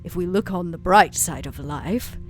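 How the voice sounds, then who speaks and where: A woman voicing a weary, sardonic female vampire club owner in a tabletop roleplay drama, wry and given to dramatic pauses. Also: fairly low pitch, soft background music, natural voice. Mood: bitter, searching.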